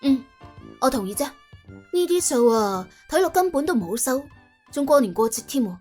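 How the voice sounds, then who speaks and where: A playful young woman schemer, voiced by a female narrator, cheeky and wheedling in audiobook style. Her voice is fairly high and very lively in pitch. Faint music plays behind her. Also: normal speed. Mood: hesitant, wary. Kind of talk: audiobook character acting.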